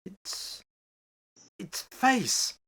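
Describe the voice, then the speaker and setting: A man's voice: extremely slow, fairly bright tone. A man playing a fearful older husband in a radio horror play, agitated, hesitant and pleading. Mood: horrified.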